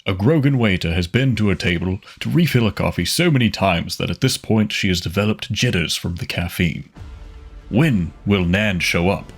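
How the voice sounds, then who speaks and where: Husky, low-pitched male narrator. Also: lively intonation, moderate pace, soft background music. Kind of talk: scripted audio drama narration.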